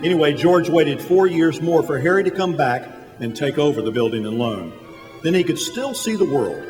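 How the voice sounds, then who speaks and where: A man's voice: a little high, animated, moderate pace, close to an everyday voice. Man as patient storyteller over music, echoey. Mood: patient, explaining. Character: patient heavenly narrator telling a life story. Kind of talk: scripted radio drama narration. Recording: hall reverb, music behind.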